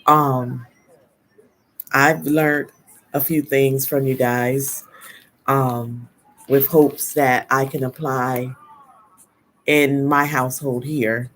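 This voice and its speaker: A woman hosting a casual live stream. She is congested from a head cold and greets viewers slowly, with frequent ums. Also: extremely low pitch, little pitch movement. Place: at home in New Jersey.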